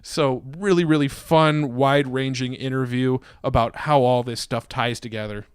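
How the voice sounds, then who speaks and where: A man's voice: mid-range voice, steady tone, moderate pace, smooth, no room sound, studio quality. A man co-hosting a podcast, introducing a guest with enthusiasm and asking questions. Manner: enthusiastic, conversational. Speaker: podcast co-host.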